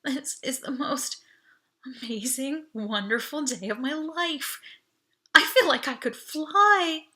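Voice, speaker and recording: A woman voicing a nervous, excitable teenage girl in a sci-fi storybook, small-voiced and easily amazed. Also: high voice, slow, pitched above the performer's own voice, full character voice. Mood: awestruck, gushing. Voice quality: small, breathy, emotional voice. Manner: exclaiming and questioning, easily amazed.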